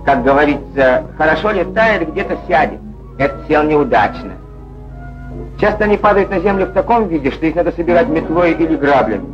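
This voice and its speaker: Male 1940s newsreel narrator, highish voice, declamatory and sardonic, muffled old film sound. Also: animated, fairly quick. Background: music.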